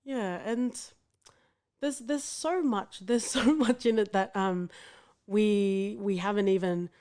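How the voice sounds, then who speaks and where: A woman's voice: medium pitch, slow pace, mellow. An Australian woman hosts a podcast in a mid-range voice. She talks casually, laughs often, and trails off and restarts her sentences as she thinks aloud. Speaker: podcast host.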